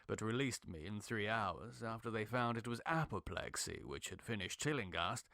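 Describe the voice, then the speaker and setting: A man reading a horror story as a dramatic narrator, in a grave, measured, ominous manner. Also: on the low side, expressive, vocal fry, bright, forceful tone, no room sound, clean studio sound. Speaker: audiobook narrator.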